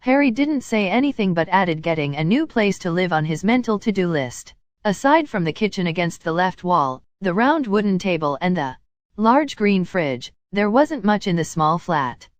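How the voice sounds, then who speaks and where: A woman's voice: lowish voice, very animated, normal speed, no room sound. A woman narrating a written story aloud, reading both description and dialogue.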